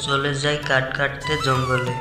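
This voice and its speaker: A man narrating a cartoon folk tale in a plain, steady storybook manner. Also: mid-range voice, moderate pace. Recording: echoey, off-mic, music behind.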